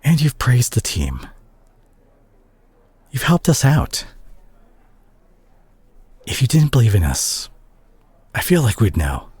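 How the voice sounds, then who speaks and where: A man playing a concerned, exasperated superhero scolding a reckless teammate in a dramatic audio roleplay, husky and breathy, with halting, emphatic pauses. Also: mid-range voice, a little mellow, dry recording, exaggerated voice. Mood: softer, searching.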